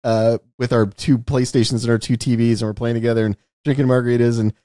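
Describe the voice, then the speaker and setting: A man's voice: mid-range voice, flat delivery, quick pace, warm tone, no room sound, clean studio sound. A man co-hosting a casual film discussion, telling anecdotes in a chatty way and acting out little quotes. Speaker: podcast co-host discussing films.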